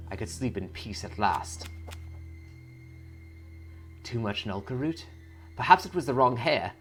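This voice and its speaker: Man acting a low, brooding, haunted warlock. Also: fairly bright tone. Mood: fearful, scheming, then despairing, weary. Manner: solemn, measured, brooding delivery. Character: haunted, guilt-ridden male warlock reading his secret journal. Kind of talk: dramatic reading of a journal.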